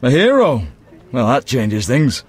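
An actor playing a gruff, dutiful town guard in a fantasy game. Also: male voice, medium pitch, big pitch swings, unhurried. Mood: impressed, friendly.

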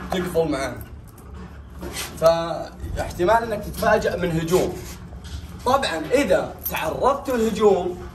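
Echoey, higher-pitched young Saudi man. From Saudi Arabia. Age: young adult. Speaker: big cat owner and vlogger. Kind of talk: casual explanatory vlog talk. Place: small bare tiled enclosure. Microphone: camera a few steps away. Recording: echoey bare room, distant microphone.

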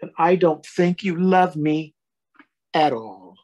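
A man's voice: fairly high pitch, expressive, slow pace, darkish tone, voice pushed up. A man voicing a small, grumpy child fox in a storybook, plaintive and anxious to be loved. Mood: grumpy, sulky. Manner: questioning, plaintive storybook delivery.

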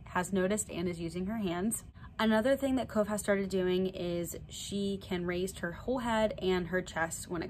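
A woman's voice: on the low side, slight background noise. Young woman vlogging with a slight cold. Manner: chatty, plain, fillers like "so yeah". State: slight cold, a little nasal. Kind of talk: casual vlog update. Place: indoor room before a draped backdrop with string lights.

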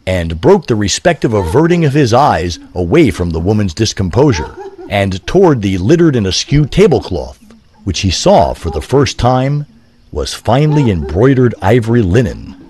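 A man's voice: lowish voice, very animated, normal speed, darkish tone. A man narrating a scripted story in an ornate, theatrical style.

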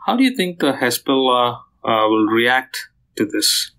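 A man's voice: medium pitch, very animated, a little slow, sharp tone, poor audio. A man hosting a podcast interview, asking questions in a hesitant, tentative way. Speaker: podcast host.

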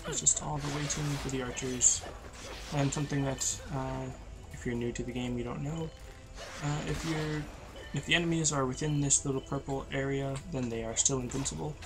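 A tired young man, losing his voice, giving low-energy, rambling commentary over a video game. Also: creaky, low-quality recording. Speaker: gameplay commentator. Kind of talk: casual let's-play commentary.

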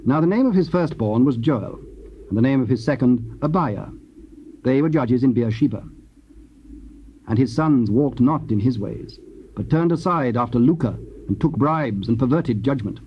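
A man narrating scripture solemnly, in a formal, measured manner. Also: mid-range voice, expressive. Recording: muffled, hissy old cassette recording.

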